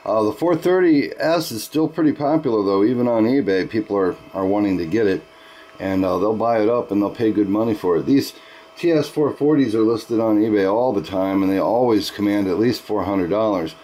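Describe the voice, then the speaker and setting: A man's voice: on the low side, brisk, warm tone, poor audio. A man talking casually as an amateur radio operator, explaining things in a chatty way and using radio procedure words. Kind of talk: casual ham radio conversation.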